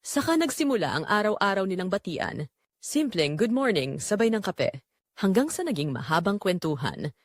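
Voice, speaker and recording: Expressive Filipino woman narrating a drama, dry sound. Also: mid-range voice, normal speed, no echo. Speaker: storyteller and channel narrator. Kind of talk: scripted dramatic story narration.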